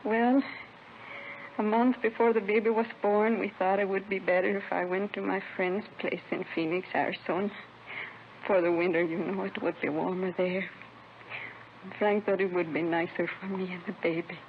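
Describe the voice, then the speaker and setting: A tinny vintage radio drama recording with faint noise carries a grieving young mother, played by a woman. She is distraught and pleading, confessing in halting phrases at a medium pitch. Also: steady tone, close to an everyday voice. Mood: subdued, halting. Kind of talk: 1950s radio drama acting.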